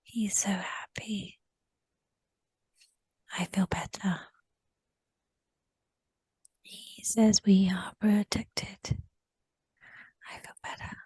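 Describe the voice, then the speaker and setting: A woman whispering a slow first-person recollection in a guided session, often repeating short phrases. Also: medium pitch, flat delivery, a little mellow, breathy edge, dry recording.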